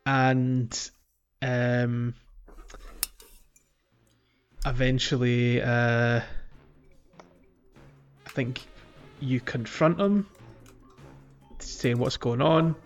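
A man's voice: medium pitch, very slow, long pauses, fairly bright tone, highs cut off, soft background music. A man co-hosting a podcast, casually and somewhat hesitantly chatting through a video game playthrough. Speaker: podcast co-host.

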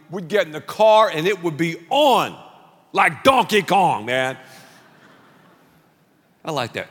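A middle-aged man preaching a sermon as pastor, telling stories with animated delivery and shouting out acted-out lines. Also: sits high, in a large hall.

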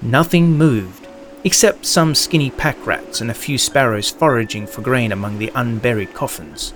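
Man, mid-pitched voice, scripted story narrator, music behind. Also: normal speed, a bit bright. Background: background music.

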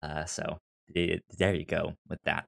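A man with an American accent and a fairly low voice talks casually as a podcast host. He stutters, repeating syllables at the starts of words, so his informal delivery comes in halting bursts. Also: level tone, vocal fry. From United States. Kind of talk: casual discussion of TV shows.

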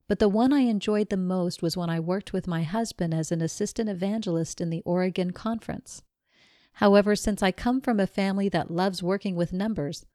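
A woman reading a written magazine interview aloud in a measured, even manner. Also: on the low side, dry recording, clean studio sound. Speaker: reader of a magazine article.

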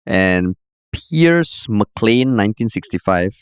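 Low, smooth-voiced Singaporean man chatting. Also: takes it slowly, no echo, studio quality. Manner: chatty, animated, sprinkles lah. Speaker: podcast co-host. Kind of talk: casual chat.

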